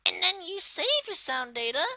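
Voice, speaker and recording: A woman voicing a young male cartoon turtle villain while giving an informal, hesitant, unscripted software tutorial. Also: sits high, big pitch swings, normal speed, dry recording, studio quality, heavily acted. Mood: explaining, casual. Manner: hesitant, informal, rambling delivery. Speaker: tutorial presenter.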